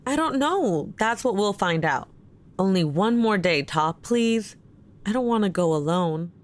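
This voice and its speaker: An actress plays a worried woman in a sci-fi audio drama, with a mid-pitched voice. She is earnest and questioning, caring yet suspicious, and she presses her point with gentle insistence. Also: expressive, a little slow, close to an everyday voice. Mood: pleading. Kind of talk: audio drama acting, naturalistic dialogue.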